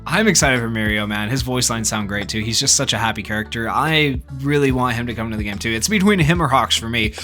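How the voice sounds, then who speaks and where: A man with a mid-pitched voice delivers gaming news in the casual style of a YouTuber. He talks informally and speculatively, rambling through his thoughts as he goes. Music plays behind his voice. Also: fast, hardly any pauses, sharp tone. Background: background music.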